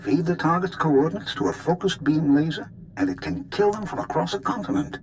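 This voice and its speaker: An actor playing a precise, keen weapons expert explaining technical matters in a video game. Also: male voice, fairly high pitch, a little fast, a little hiss or hum, natural voice. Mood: keen, impressed. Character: precise, enthusiastic male weapons expert explaining his device.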